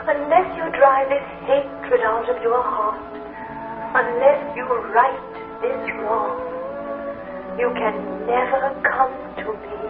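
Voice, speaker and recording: A tender, echoing ghost. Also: female voice, high voice, phone-quality sound. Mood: yearning. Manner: slow, tender, pleading. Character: gentle ghost of a long-lost woman beloved. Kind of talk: 1940s radio acting. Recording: echoey, distant, over music, thin old broadcast.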